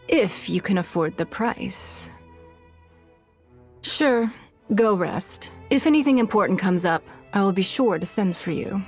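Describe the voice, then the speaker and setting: A woman voicing a poised, calculating, wealthy noblewoman in a fantasy video game, speaking in a measured, formal and haughty manner. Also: medium pitch, darkish tone, natural voice. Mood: composed, commanding. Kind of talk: video game character voice lines.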